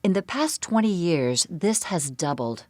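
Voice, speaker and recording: A woman giving a scripted, measured explanatory narration for a medical seminar. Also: on the low side, lively intonation, mellow, dry recording. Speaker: presenter of a bariatric surgery program.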